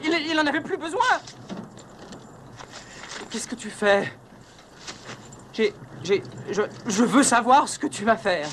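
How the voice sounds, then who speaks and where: A man's voice: very high-pitched, animated, slow, long pauses, fairly bright tone, slight background noise, exaggerated voice. An actor in a film dub playing a cornered thug, panicky and stammering as he pleads. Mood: nervous panic. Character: frantic, cornered male thug pleading for his life. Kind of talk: dubbed film acting.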